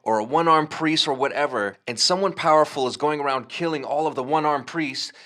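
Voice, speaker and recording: An excitable teen boy who rambles eagerly about how stories usually go. His voice sits a little high. A man acts him out in an audio drama. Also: a little fast. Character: nerdy male teen who knows fantasy tropes.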